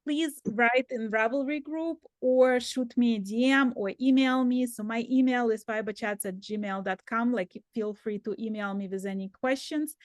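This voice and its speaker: A woman's voice: on the high side, normal speed, few pauses, no echo. A woman hosting a knitting video call, chatting casually and peppering her talk with fillers. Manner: friendly, fillers like "like". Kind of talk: casual chat.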